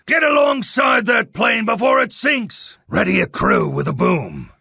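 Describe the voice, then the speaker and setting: A roaring, sarcastic sea captain. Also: male voice, very high-pitched, sing-song, measured pace, creaky, slightly rough, no room sound, clean studio sound, voice pushed up, heavily acted. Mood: barking orders. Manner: loud, salty sailor talk with sarcastic bite. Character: roaring, sarcastic smuggler ship's captain, rough and bullying. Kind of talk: pulp radio-drama style audiobook acting.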